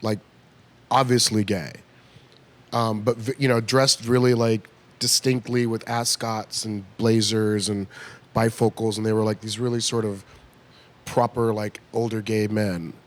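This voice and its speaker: A man in his fifties from California, a guest comedian chatting in a bar at a comedy club, telling animated stories and acting out quoted voices. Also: on the low side, unhurried. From United States (California). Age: 50s. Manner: animated storyteller who acts out quoted voices. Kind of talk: casual interview chat.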